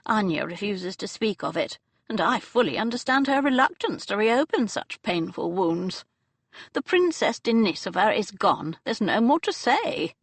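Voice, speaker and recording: A woman narrator voicing an elderly aristocratic dowager duchess who speaks in a lofty, brisk, upper-class manner. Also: mid-range voice, sing-song, husky, dry recording. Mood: decisive.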